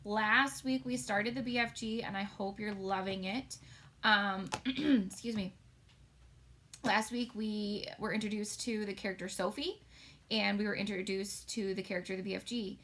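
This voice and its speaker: A woman's voice: mid-range voice, fairly even intonation, moderate pace, a bit bright, a little hiss or hum. A woman teacher giving a casual read-aloud, chatty and explanatory as she addresses young pupils. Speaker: elementary school teacher.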